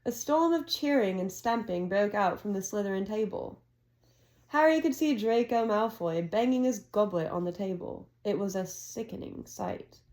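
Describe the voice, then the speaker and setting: A woman reading a storybook aloud to her child, moving along briskly and gently coaxing between passages. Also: medium pitch, animated.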